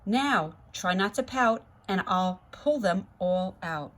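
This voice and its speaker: A woman's voice: mid-range voice, lively intonation, slow pace, fairly bright tone. A woman voicing a stern mother from a storybook, scolding and nagging in singsong rhyme.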